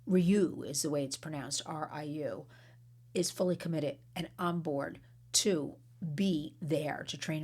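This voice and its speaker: Hoarse american woman, low raspy voice, rambles and restarts, keeps hammering her point. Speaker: anti-trafficking advocate. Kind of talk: urgent advocacy talk.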